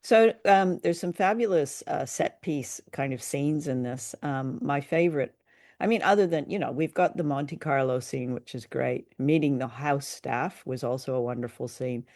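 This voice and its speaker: A woman's voice: very deep voice, moderate pace, darkish tone, dry recording, studio quality. A woman co-hosting a casual discussion of film and radio drama, speaking in a conversational, appreciative way. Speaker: podcast co-host.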